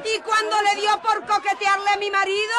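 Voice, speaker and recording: A spiteful, gossiping neighbor in a radio serial. Her voice is shrill and extremely high, full of scorn, within a loud noisy mob. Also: completely flat delivery, normal speed. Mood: scornful, gossiping. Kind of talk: radio drama acting. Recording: noisy, loud crowd behind.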